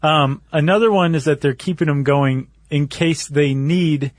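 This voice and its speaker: A man's voice: fairly high pitch, a little slow, no room sound. A man co-hosting a podcast, explaining things step by step in a casual conversational way. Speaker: podcast co-host.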